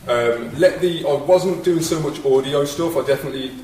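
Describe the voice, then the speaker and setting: Man, guest lecturer, relaxed candid talk, echoey room, distant mic. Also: a little high, normal speed, background noise. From England. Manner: relaxed, candid, with frequent ums. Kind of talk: casual talk about his career. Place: college hall. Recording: echoey hall from far off.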